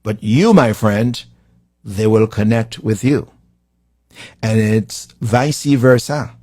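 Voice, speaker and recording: Slow, emphatic Haitian-accented man. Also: lowish voice, a little mellow, dry recording, clean studio sound. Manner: preacherly, with slow emphatic rising lines. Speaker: motivational speaker. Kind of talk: live-streamed motivational talk.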